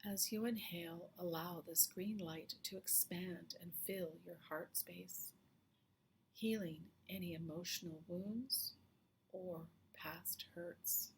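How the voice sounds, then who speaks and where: Female meditation guide: slightly low register, gentle half-whispered phrase endings, unhurried and calming, faint ambient noise underneath. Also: poor audio. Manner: soft, hushed, often breathy phrase endings; slow, soothing, with long pauses.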